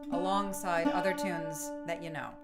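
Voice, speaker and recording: A woman's voice: lowish voice, fairly even intonation, moderate pace, over loud music. A woman leading an online tune-playing session, chatting in a friendly, explanatory way with frequent ums. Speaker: session leader and music teacher. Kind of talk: casual online session chat.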